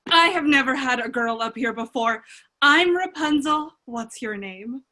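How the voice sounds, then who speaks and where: A woman plays a lonely young tower princess in a radio play. The voice is very high and bright, with a modern, conversational delivery that keeps questioning things. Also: lively intonation, measured pace, heavily acted. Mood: curious, exasperated. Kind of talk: irreverent radio play acting.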